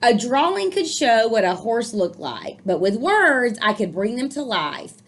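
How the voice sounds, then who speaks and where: A woman reading a picture book aloud to children, expressively, with encouraging, explanatory asides. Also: a little high. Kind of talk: storybook read-aloud with commentary for children.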